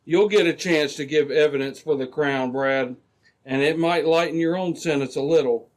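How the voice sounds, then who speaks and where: A man's voice: a little high, normal speed, lightly acted. A man playing a firm, authoritative Mountie sergeant in an old-time radio adventure, giving clipped orders. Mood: commanding. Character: firm, commanding male Mountie sergeant, steady lawman.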